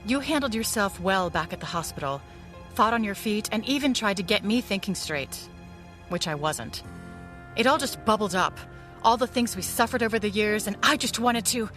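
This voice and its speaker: A woman's voice: medium pitch, lively intonation, moderate pace, a bit bright, lightly acted. Actress as a wistful, guarded woman crimefighter. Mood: earnest. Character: wistful, guarded veteran woman ally of a pulp vigilante. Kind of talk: pulp audio drama acting. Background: music.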